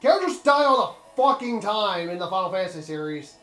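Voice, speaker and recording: High-voiced man ranting over game music. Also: very animated, fairly quick, bright, forceful tone, echoey room. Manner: blunt, sweary, rambling opinions. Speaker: let's play commentator. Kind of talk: casual gaming commentary.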